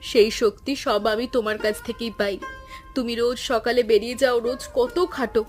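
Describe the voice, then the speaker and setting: Female actor as patient village mother for cartoon: consoling, reassuring, lightly high woman's voice with music beneath. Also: steady tone, moderate pace, close to an everyday voice. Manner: soothing, reassuring. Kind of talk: cartoon folk tale dialogue.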